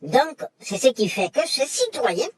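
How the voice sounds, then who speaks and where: Slow, husky, lively-voiced woman lecturing. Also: high-pitched, no room sound, studio quality. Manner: emphatic, repetitive, lecturing. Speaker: commentator. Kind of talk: impassioned monologue.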